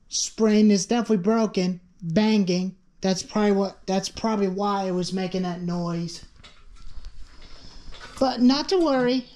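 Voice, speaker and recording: A woman's voice: medium pitch, a little mellow. A woman giving a casual, hands-on show-and-tell as a small engine hobbyist, speaking in a garage workshop a few feet from the camera. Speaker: small engine hobbyist presenting own chainsaws. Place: cluttered metal-walled garage workshop.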